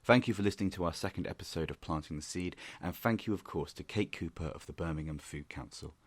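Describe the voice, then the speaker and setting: A man with a very low voice hosts the podcast and thanks his guest. He speaks hesitantly, stumbling and restarting, recorded dry with no room sound. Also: no room sound. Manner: hesitant, halting phrasing. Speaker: host. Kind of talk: interview and podcast outro.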